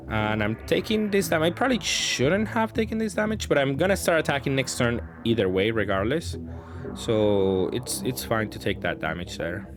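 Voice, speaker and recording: A man giving casual, improvised commentary on his own card game, thinking aloud hesitantly and often trailing off. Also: mid-range voice. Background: background music.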